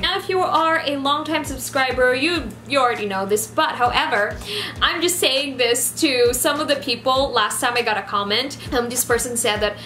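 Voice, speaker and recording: A 27-year-old woman from the Philippines with a Filipino accent and Ilonggo as her first language, chatting casually to camera in an animated way as she lists facts. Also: on the high side. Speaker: vlogger. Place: small decorated room indoors. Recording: roomy echo, music behind.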